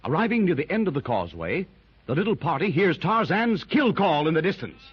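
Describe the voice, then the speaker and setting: A man's voice: a little high, sing-song, fairly quick, breathy edge. A male announcer giving a dramatic scripted recap of a 1930s radio serial, on a dull old recording.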